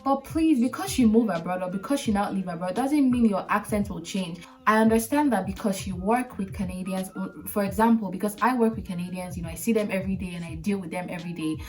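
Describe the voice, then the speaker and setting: A woman's voice: medium pitch, fast, hardly any pauses, darkish tone, distant mic. A woman in her late twenties with a Nigerian accent, hosting an advice vlog in an emphatic, direct way that repeats points for stress. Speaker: video host.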